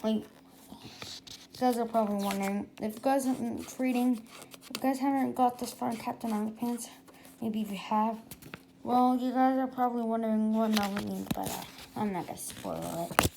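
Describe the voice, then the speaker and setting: A halting narrator reading a children's book aloud, often repeating phrases and slipping into casual asides. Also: medium pitch, fairly even intonation, gravelly, background noise.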